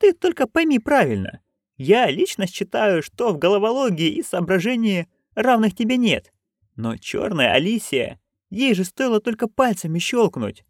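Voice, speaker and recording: A storyteller voicing a chatty, coarse, bawdy old witch woman who rambles loudly. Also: mid-range voice, very animated, moderate pace, dry recording, clean studio sound, pitched above the performer's own voice. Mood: stunned.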